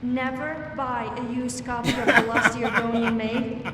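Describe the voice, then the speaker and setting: An actress plays a sarcastic young female companion in a fantasy game. Her voice is fairly high and sounds distant, swimming in heavy cavern echo. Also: flat delivery, measured pace, natural voice. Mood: dry, grumbling. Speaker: video game follower character. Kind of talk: scripted game dialogue. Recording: distant, echoing cavern reverb, loud rumbling noise.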